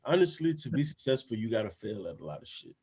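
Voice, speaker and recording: A man's voice: fairly low pitch, sing-song, quick pace, a little mellow, breathy edge. A man hosting a casual podcast chat, talking in a laid-back, slangy way, swearing often and asking "you feel me". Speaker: podcast host.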